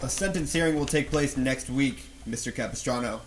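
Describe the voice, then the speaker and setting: An actor playing a stern, commanding judge in an old-time radio drama. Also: male voice, mid-range voice, fairly quick, very noisy, close to an everyday voice.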